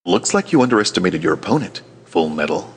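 A man's voice: sits low, very animated, fast, creaky, husky, full character voice. A man playing a composed, sardonic military colonel in an anime fan dub, dry and authoritative. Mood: dry, scolding.

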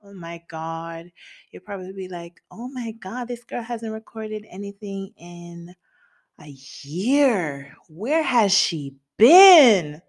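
Woman, late twenties, solo podcast host; unhurried, candid, playful slang, laughs a lot. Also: medium pitch, animated. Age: late 20s. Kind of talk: casual solo podcast chat. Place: home in Japan.

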